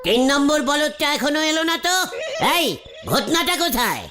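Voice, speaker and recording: A woman's voice: high voice, animated, normal speed, over music. A woman voicing a boastful, hot-headed uncle in a cartoon, pompous and bombastic, dropping in bits of English and Hindi. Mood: pompous, teasing.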